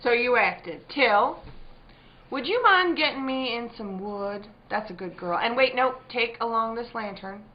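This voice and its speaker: An actress playing a guarded, middle-aged rural farm wife in a radio play, with a curt, defiant rustic dialect. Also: female voice, fairly high pitch, expressive, unhurried. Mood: wary. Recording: dull sound, faint background noise.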